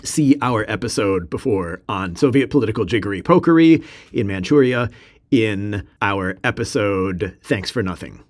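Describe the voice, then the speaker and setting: A man's voice: fairly low pitch, lively intonation, normal speed. A man co-hosting a history podcast in English, which is not his first language, adding wry asides. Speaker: podcast co-host. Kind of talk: casual history podcast narration.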